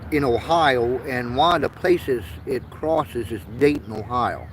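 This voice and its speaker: Man, 50s, born in Vietnam and raised in the US, livestream host, very slow delivery, rambling and earnest, faint outdoor noise. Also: mid-range voice, low-quality recording. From born in Vietnam, raised in the United States. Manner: slow, earnest, stops to repeat himself. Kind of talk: rambling casual livestream monologue.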